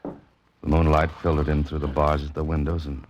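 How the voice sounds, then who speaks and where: A man's voice: rumbling low, completely flat delivery, moderate pace, lo-fi sound, noisy background. A man with an American accent acting a wary, probing investigator who narrates a 1940s-style radio mystery. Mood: brooding, watchful. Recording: old radio broadcast with music behind.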